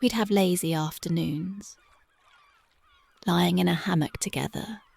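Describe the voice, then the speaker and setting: A voice actress playing a young punk girlfriend, speaking softly and whispering in a dreamy, intimate, affectionate ASMR roleplay close to the mic. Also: lowish voice, measured pace, creaky, husky, no echo, full character voice. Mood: tender dreamy. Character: young punk woman, tender devoted girlfriend.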